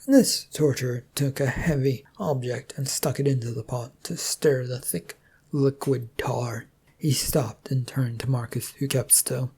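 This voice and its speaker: A story narrator with a dark, mellow tone. The voice is breathy and husky at a middling pitch, reading prepared prose. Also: fairly even intonation, unhurried.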